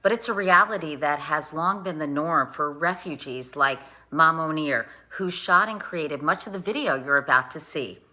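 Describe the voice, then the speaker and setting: Bright low-voiced woman presenter. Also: normal speed, hardly any pauses. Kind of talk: scripted broadcast link.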